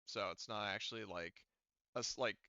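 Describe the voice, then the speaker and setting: A man's voice: fairly low pitch, normal speed, bright, forceful tone, smooth, no room sound, highs cut off. A man running a tabletop roleplaying game as game master, explaining things casually and thinking aloud as he goes.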